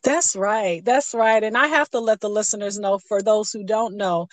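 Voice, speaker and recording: A woman's voice: medium pitch, normal speed, no room sound. A middle-aged woman with an American accent, hosting a conversational radio interview. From Pontiac, Michigan, United States. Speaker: radio show host.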